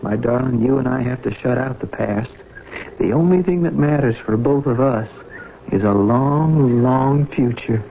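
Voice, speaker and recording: An actor playing an earnest, intense younger brother on a farm in a 1970s radio drama. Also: male voice, medium pitch, measured pace, natural voice. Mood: tender. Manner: intense, accusing. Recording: muffled old radio recording with noise.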